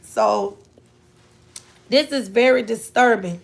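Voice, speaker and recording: A high-pitched woman from Florida with a Southern drawl hosts a livestream. Her voice has a gravelly, fry-laden edge. She talks in a loose, chatty way, drifting from topic to topic. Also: slow pace. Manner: chatty, drawling, scolds her kids off-mic. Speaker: livestream host. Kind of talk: casual live chat.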